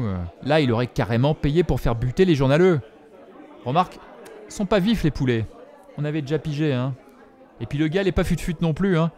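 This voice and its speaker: A man acting a chatty café regular in an audio drama, pitched a little high. He gossips in loose, mocking slang and tags his remarks with little questions. A crowd murmurs around him. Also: a little mellow, lightly acted. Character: chatty, gossipy male bar regular commenting on the news.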